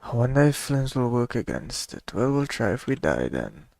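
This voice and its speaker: A man streams a game with casual commentary. His voice sits at an ordinary pitch with plenty of fry, and he sounds laid-back, half talking to himself. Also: very animated, moderate pace, a little mellow, no room sound. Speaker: gaming streamer.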